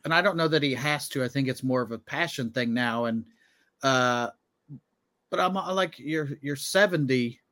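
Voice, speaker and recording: A man in casual podcast chat as a co-host, circling his point in a halting way. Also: medium pitch, pushed and bright, dry recording.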